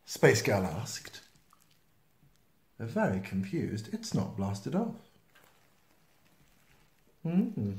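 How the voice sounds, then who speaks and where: Slow, expressive man reading a picture book. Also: lowish voice. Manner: expressive, slow, with playful sound effects and asides. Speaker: storytime reader. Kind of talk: children's picture-book read-aloud. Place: small indoor room, seen close to the camera. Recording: roomy, echoey home video sound.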